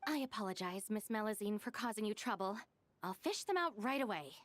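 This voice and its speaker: A woman's voice: mid-range voice, expressive, a little fast, dry recording, lightly acted. A woman playing a level-headed, responsible young gang deputy in a video game, dry and matter-of-fact. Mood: composed. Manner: matter-of-fact, exasperated, composed. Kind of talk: video game character acting. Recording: game music behind.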